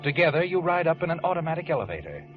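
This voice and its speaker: An actor playing a knowing, mysterious narrator, telling a 1940s radio mystery in the second person with dramatic menace. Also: male voice, on the high side, very animated, very fast. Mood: ominous, knowing. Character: omniscient mysterious narrator taunting the protagonist. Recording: dull vintage radio recording. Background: dramatic music.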